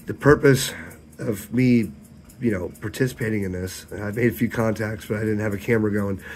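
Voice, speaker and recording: A man with a hoarse, sick voice, casually chatting about his ham radio setup at night. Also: lowish voice, a little hiss or hum, rough recording. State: hoarse, losing his voice from illness. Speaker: ham radio video host. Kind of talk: casual vlog narration with radio contacts. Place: home radio shack at night.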